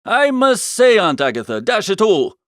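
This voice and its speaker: A foppish young upper-class gentleman, voiced by a man in a comic storybook, pitched high and very animated. He talks in earnest, emphatic slang, part ditherer and part indignant scold. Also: normal speed, no echo, clean studio sound. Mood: severe, indignant.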